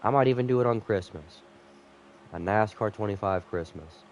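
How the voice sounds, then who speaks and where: A man's voice: on the low side, normal speed, darkish tone, slight background noise. A man sick with a cold, slightly nasal, rambling casually to viewers as he commentates a live game stream.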